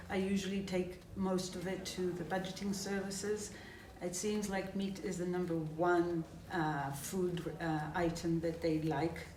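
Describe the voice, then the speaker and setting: A woman, speaking in a relaxed, thoughtful way and often pausing with hesitations as she describes her food rescue work. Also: lowish voice, background noise. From Israel. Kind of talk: documentary interview. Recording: roomy, distant mic with music.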